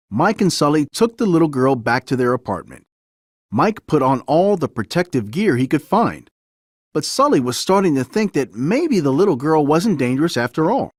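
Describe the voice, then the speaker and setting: Animated male children's storybook narrator. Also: a little high, no echo, clean studio sound. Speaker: storybook reader. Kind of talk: children's story read-aloud, scripted narration.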